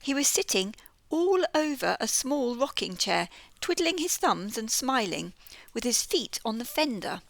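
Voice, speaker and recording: A British woman reads a children's storybook in an expressive, lilting way. Her voice sits fairly high and moves a lot in pitch. The recording is dry and close to the mic. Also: a little slow, vocal fry, dry recording. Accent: British English. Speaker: audiobook reader. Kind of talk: storybook reading.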